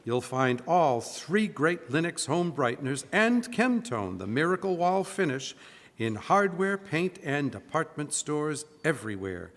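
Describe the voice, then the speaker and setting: An animated old-time radio pitchman played by a man, emphatic and polished. His fairly high male voice swoops with salesmanlike enthusiasm in a reverberant live hall. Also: measured pace. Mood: upbeat, emphatic. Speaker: announcer.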